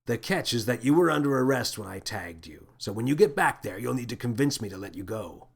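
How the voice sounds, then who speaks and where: A man voicing an adult male spaceship captain in a children's sci-fi story, grave and sorrowful but excitable when alarmed. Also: medium pitch, brisk, creaky, breathy edge. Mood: grave, sad. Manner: dramatic, brooding, blurting when agitated.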